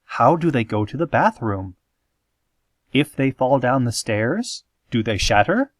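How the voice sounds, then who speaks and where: A man playing a calm, eerie small-town radio announcer, reading scripted parody news in a measured, theatrical, deadpan way. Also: mid-range voice, no echo. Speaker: radio host in a parody podcast.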